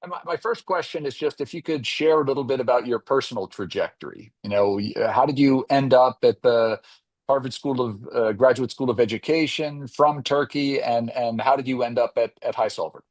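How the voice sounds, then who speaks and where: A man with a medium-pitched voice hosts an interview. He speaks politely and conversationally, often rewording a phrase midway through. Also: a little fast. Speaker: interview host.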